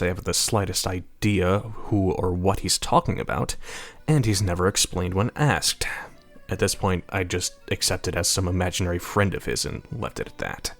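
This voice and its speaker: Man acting a deep, gravelly, weary mayor. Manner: deadpan, grumbling. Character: weary, irritable male mayor, dryly sarcastic. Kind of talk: first-person scripted narration with dialogue, audio drama.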